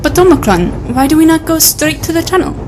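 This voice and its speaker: A woman's voice: sits high, big pitch swings, brisk. An actress playing an inquisitive, polite female member of an adventure team in an audio drama. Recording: clearly noisy background, engine-like ambience.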